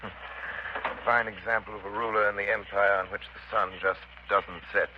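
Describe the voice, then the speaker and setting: Polished, knowing sleuth, played by a man, measured manner, vintage radio mystery, dull sound with faint hiss. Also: on the low side, little pitch movement, close to an everyday voice. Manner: urbane, composed. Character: suave, thoughtful gentleman sleuth who believes in the uncanny. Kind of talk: 1930s radio drama acting. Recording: muffled, hissy 1930s radio broadcast.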